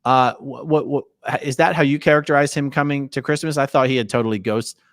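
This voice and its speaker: A man hosting a casual interview, probing and halting, repeating words for emphasis as he works toward his point. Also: mid-range voice, fairly bright tone, no room sound, studio quality. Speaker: host interviewing a guest on a recorded call.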